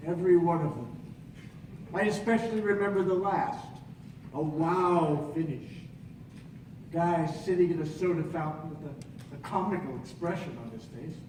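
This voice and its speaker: An actor playing a lovelorn, bitter former basketball coach in a 1940s-style stage romance, dramatic and halting. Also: male voice, on the high side, a little mellow, natural voice. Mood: bitter, wounded. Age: middle-aged. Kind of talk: live stage radio play, 1940s film romance parody. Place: theatre stage, live performance. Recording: echoey, distant stage recording with noise.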